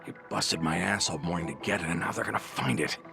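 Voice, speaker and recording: A man voicing an anxious, grumbling underling in a video game, muttering fretfully to himself in a gravelly voice. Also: low-pitched, quick pace, pushed and bright, exaggerated voice. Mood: worried, regretful. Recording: music behind the voice. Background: music.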